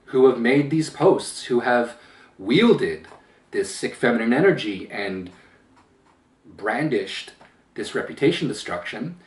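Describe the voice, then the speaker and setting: Emphatic man, echoey room, mic far off. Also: medium pitch. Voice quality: powerful voice that gets loud when upset. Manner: emphatic, slow deliberate phrasing with pauses. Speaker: channel host. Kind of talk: opinion commentary monologue. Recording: roomy echo, distant from mic.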